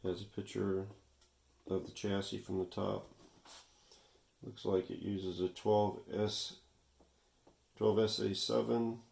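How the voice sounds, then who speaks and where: A man's voice: low voice, creaky, darkish tone. A man casually talking viewers through a hands-on workshop demonstration, unscripted and half muttering to himself as he works. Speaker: vintage radio repair video host. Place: indoor workshop. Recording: echoey room, faint background noise, clicks.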